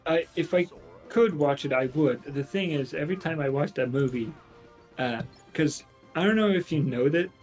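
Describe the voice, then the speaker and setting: A man who is not a native English speaker, chatting casually on a game stream in a halting, tentative way, often repeating himself. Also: a little high, a little mellow. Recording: music behind the voice. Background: background music.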